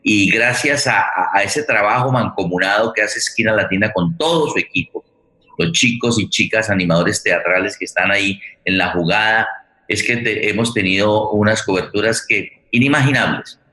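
A man's voice: on the low side, sharp tone, low-quality recording. A man with a Colombian accent hosting an unscripted live online show, talking at length and often repeating words as he feels for the next one. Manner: wordy, halting, repeats words. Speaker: host.

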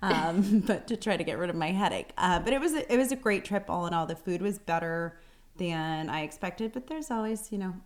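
A woman's voice: lowish voice, animated, fairly quick. A woman co-hosting a podcast, chatting casually, often laughing and using fillers like "um" and "like". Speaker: podcast co-host. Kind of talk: casual chat.

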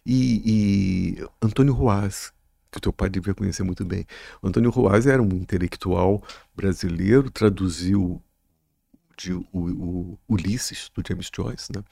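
A man's voice: low-pitched, unhurried, vocal fry, dark tone, no room sound. A middle-aged man with a Carioca accent, chatting as a guest in a rambling, profane way and repeating his words. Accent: Carioca Brazilian Portuguese. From Rio de Janeiro, Brazil. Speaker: guest, writer and musician. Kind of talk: casual podcast chat.

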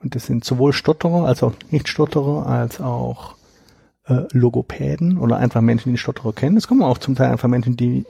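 A man being interviewed about his book, talking in a relaxed, hesitant way with repeated words and fillers. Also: mid-range voice, fast, gravelly, breathy edge, dry recording. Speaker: guest, author of a book on stuttering. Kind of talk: conversational interview.

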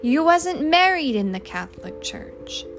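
A blustering working-class husband from a storybook, acted out by a woman, indignant and emphatic. The speech is slangy and uneducated, pushed high in pitch with plenty of force. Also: sing-song, a little slow, faint music behind, full character voice.

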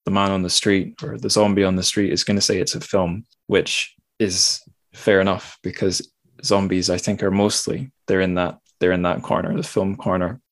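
Low-voiced man, rambling podcast host. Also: level tone, moderate pace. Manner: rambling, thinking aloud, self-deprecating. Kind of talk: casual literary discussion.

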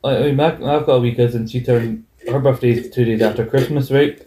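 A man's voice: medium pitch, steady tone, a little fast, dark tone, reverberant, a little hiss or hum. A man in his twenties chatting casually as a podcast co-host, rambling with restarts and self-corrections. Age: 20s. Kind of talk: casual chat.

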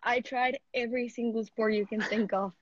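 A woman's voice: a little high, fairly even intonation, moderate pace, no room sound, muffled recording. A seventeen-year-old woman from Mexico, an athlete, chatting casually in an interview over a video call and saying "like" a lot. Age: teens. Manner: chatty, heavy use of "like".

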